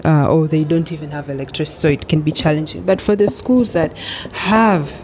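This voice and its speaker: Woman chatting in an interview, kinda low voice, laughs a lot, faint background hum. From Tanzania. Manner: animated, quick laughs, many fillers. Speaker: edtech guest. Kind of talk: casual interview chat.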